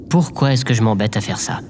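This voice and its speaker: A man playing a self-pitying amateur podcaster in an audio drama monologue, weary and deadpan. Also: mid-range voice, long reverb tail, background noise, lightly acted.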